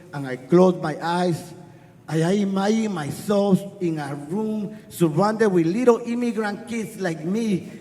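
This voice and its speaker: A middle-aged man with a Spanish accent and a notably high voice tells a personal story to a live audience. He is earnest and wry, pressing ahead quickly, then slowing down to let a line land. The hall around him rings with a long reverb. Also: darkish tone. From Guatemala. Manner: earnest, wry, speeds up and slows down for effect. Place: festival stage before an audience.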